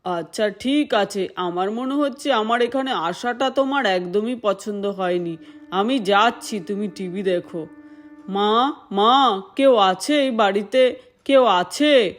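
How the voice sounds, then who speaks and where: A woman's voice: medium pitch, takes it slowly, natural voice. A woman voicing a polite, earnest little boy god disguised as a hungry child in a doll story. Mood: resigned. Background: music.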